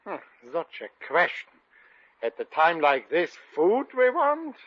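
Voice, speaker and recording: A man's voice: fairly high pitch, wildly swooping pitch, measured pace, no echo, heavily acted. A man playing a sappy, easily annoyed foreign bridegroom with a German accent in a vintage radio comedy. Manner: gushing, sentimental, inverted phrasing. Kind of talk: 1950 radio situation comedy acting. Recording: dull old radio recording.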